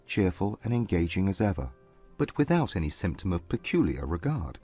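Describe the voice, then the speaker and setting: An actor playing a proud, haughty young Regency gentleman in a dramatized audiobook, speaking in a formal, composed, measured way. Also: on the low side, breathy edge, exaggerated voice. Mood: measured explaining. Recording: dry close recording with music behind. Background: music.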